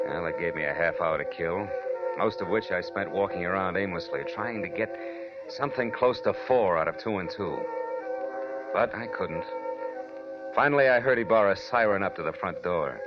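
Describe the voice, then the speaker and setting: Low voiced tired sardonic private eye, old forties radio sound, music under it. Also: male voice, expressive, highs cut off. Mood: reflective, tense. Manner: dry, deliberate, sardonic storytelling. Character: wry, weary, hard-boiled male private detective. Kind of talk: 1940s radio noir narration and acting. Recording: band-limited 1940s radio recording with music.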